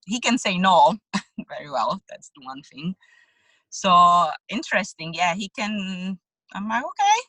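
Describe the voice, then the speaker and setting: A woman whose first language is Czech, speaking haltingly with many fillers and soft laughs in a video call book club discussion. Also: mid-range voice, very animated, dry recording, low-quality recording. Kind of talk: casual group discussion.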